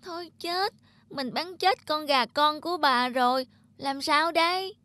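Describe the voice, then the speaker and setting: A woman voicing an anxious young boy, an eager grandson, in a children's cartoon with earnest, expressive delivery. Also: high voice, unhurried, slight background noise. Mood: panicked.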